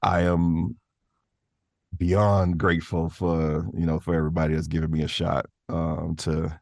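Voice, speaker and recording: A man's voice: deep voice, level tone, a little slow, vocal fry, a little mellow, no room sound, studio quality. A man talking casually and thoughtfully as a guest in an interview about his work, often restarting his phrases. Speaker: voice actor, podcast guest. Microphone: close studio microphone in a vocal booth.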